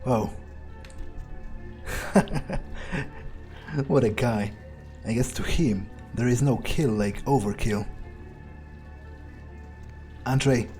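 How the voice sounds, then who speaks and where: A man's voice: mid-range voice, extremely slow, pauses often, darkish tone. A man doing an in-character game playthrough, musing aloud with plenty of swearing and hesitant phrasing. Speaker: gamer playing in character. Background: game music.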